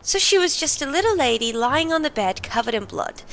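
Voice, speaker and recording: A woman's voice: high-pitched, sing-song, fairly quick, vocal fry, fairly bright tone, heavily acted. A woman voicing a mischievous, irreverent young aristocrat with a morbid streak in an audio drama, teasing, sarcastic and theatrical. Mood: gleeful curiosity.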